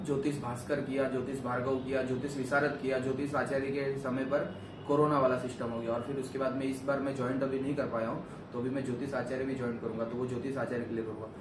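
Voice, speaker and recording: A man's voice: medium pitch, flat delivery, fast. Earnest young man, echoey and distant. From Gujarat, India. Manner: rambling, earnest, self-correcting. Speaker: vlogger answering questions. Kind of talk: casual interview chat. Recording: echoey room, far from mic, noisy.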